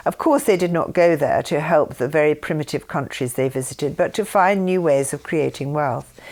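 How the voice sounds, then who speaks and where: A woman reading a written text aloud in a measured, deliberate way, phrase by phrase. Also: low voice, gravelly, darkish tone. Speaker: reader of her mother's writings.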